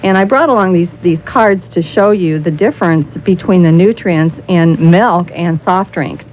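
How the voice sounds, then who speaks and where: American lady guest on a radio interview, pretty deep voice, kinda halting, rewords stuff, dull sound. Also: a little mellow. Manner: halting, often repeating and rephrasing phrases. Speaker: nutrition council spokesperson, interview guest. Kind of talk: radio public affairs interview, explanatory. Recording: muffled 1990s radio recording with noise.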